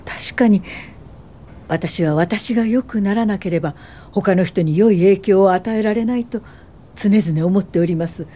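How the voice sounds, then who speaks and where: An actress plays an earnest woman social worker in a radio drama. Her voice is dark and warm at a middle pitch, with polite, measured phrasing. A faint noise sits behind the recording. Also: lightly acted. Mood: sincere. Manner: polite, thoughtful, measured delivery. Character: earnest, principled woman social worker turned educator, looking back. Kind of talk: radio drama dialogue and reflective first-person narration.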